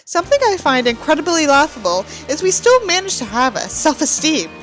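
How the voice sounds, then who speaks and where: A whiny, self-important writer who despises humanity as a woman acts him out, declaimed in a high voice. The delivery is pompous and melodramatic, a mocking dramatic book reading with faint music. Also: very animated, fairly quick, a bit bright, poor audio. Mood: gloomy, self-pitying.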